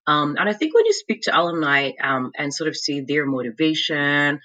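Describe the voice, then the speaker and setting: A woman's voice: sits low, big pitch swings, normal speed, noisy background. A woman from Lithuania with a Lithuanian accent, answering interview questions thoughtfully with many fillers like "um" and "like". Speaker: guest, MBA graduate and consultant.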